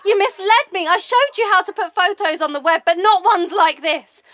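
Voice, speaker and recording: An actress playing an exasperated teenage sister in an audio drama, blunt and pleading. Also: female voice, very high-pitched, normal speed, heavy background noise. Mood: upset, accusing.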